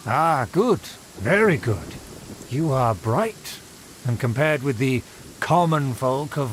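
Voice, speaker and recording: A haughty game aristocrat who talks down to everyone in a formal, pompous manner. His delivery rises and falls grandly, and there is a faint hiss behind it. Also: a little high. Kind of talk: video game voice acting.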